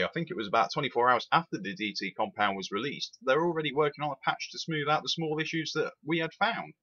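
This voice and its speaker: A man's voice: medium pitch, breathy edge, highs cut off. A man, giving an opinionated, emphatic rant as a rail sim reviewer. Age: 20s. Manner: animated, emphatic, repeats itself.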